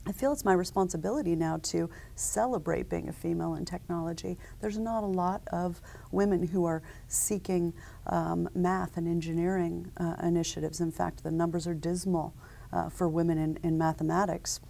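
A woman in her forties, a company founder, answering interview questions in a measured, businesslike way with frequent ums and uhs, recorded on a lapel mic at a conference set. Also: on the low side, fairly even intonation, creaky, dark tone.

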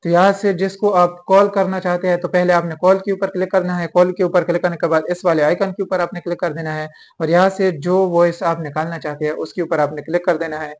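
A man's voice: high-pitched, level tone, fast talker, hardly any pauses, darkish tone. A young man hosting a step-by-step phone tutorial, speaking directly to his viewers. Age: young adult. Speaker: tech tutorial host. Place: small indoor room at home.

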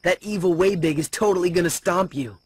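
A man's voice: high voice, brisk, slightly rough. An actor playing a sardonic, skeptical young tough-guy sidekick in a video game, with a dry, wry manner. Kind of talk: video game cutscene voice acting.

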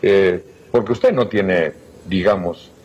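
A man's voice: low voice, slow, darkish tone, husky, highs cut off. An older man hosting a TV interview. He asks probing questions and often interjects. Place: indoor art gallery room, seated.

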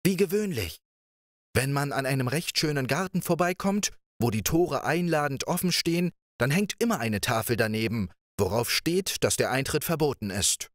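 A man's voice: medium pitch, expressive, normal speed, dry recording, studio quality, pitched above the performer's own voice, natural voice. A man voicing a gloomy, timid young man who speaks shyly and mournfully. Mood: grumbling. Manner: shy, mournful, self-pitying.

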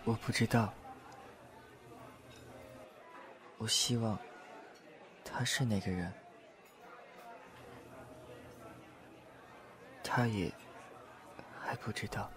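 A man playing a gentle, reserved young man in an audio drama, speaking candidly and softly in measured, short phrases. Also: fairly low pitch, steady tone, natural voice. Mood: quietly longing. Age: young adult. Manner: soft-spoken, measured, pausing between short phrases. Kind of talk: Chinese audio drama acting, confessional. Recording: music and crowd chatter behind voice. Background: music and crowd.

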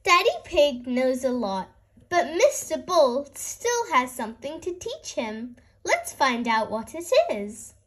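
A woman reading a picture book aloud for young children in an animated, deliberate storytelling manner. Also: high-pitched.

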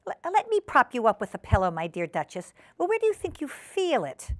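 Woman acting a high-pitched, prim lady cat hostess. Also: huge pitch swings, brisk, no echo, studio quality. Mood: fussing. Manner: prim, formal, oversweetly polite. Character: genteel, fussy female cat hostess, primly severe.

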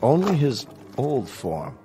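An actor playing a brisk, courteous clinic official in a radio drama. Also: male voice, mid-range voice, wildly swooping pitch, mellow. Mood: businesslike. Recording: radio drama with music underneath.

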